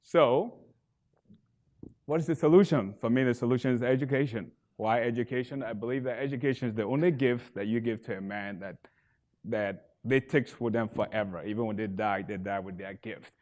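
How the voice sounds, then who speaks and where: A man in his thirties from Liberia with a Liberian accent, giving an earnest stage pitch for his nonprofit that builds to emphatic bursts. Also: moderate pace, darkish tone. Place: event stage.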